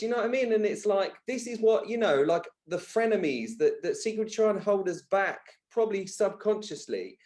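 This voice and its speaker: A man with a fairly high voice talks as a guest in a candid, conversational interview. He is colloquial and animated, repeating phrases for emphasis as he tells his stories. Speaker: podcast guest, author.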